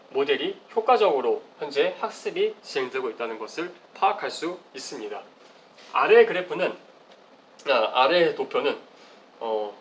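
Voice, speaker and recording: A man's voice: a little high, rough recording. A man giving a formal, explanatory research presentation with slides, as at an academic conference. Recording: clearly audible background noise.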